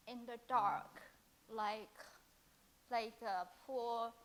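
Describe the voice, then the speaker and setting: Chinese woman in the crowd, talks slow, kinda high voice, earnest and halting. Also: fairly bright tone, low-quality recording. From China. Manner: halting, heartfelt, many pauses. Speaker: audience member, volunteer ambassador. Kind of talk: earnest audience comment. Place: conference hall audience.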